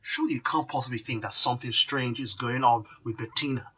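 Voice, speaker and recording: A man's voice: mid-range voice, fairly even intonation, fast, pushed and bright, slightly rough. A man acting in an audio drama as an evasive fiancé, guarded and dismissive, recorded some distance from the microphone. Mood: defensive. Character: evasive, defensive male fiancé, office professional.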